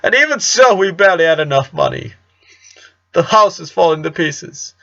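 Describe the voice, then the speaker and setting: A man's voice: fairly high pitch, very animated, normal speed, creaky, sharp tone, voice pushed up, full character voice. A man voicing an emotional, pained father who pleads and breaks into a strained, cracking voice. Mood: anguished. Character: emotional working-class father, middle-aged man. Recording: dull lo-fi recording.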